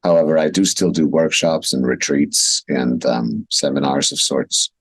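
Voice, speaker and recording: A man's voice: very deep voice, normal speed, vocal fry, husky. A man in his thirties from Switzerland, talking casually and reflectively as a coach being interviewed. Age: 30s. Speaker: interview guest, wellness and movement coach. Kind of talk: casual conversation.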